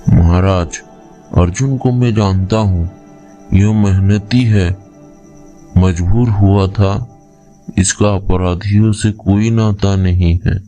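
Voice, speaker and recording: A man voicing a wise, solemn yogi who counsels in a measured, admonishing way for a cartoon moral story. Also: low voice, fairly even intonation, mellow, faint music behind. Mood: calm, pleading.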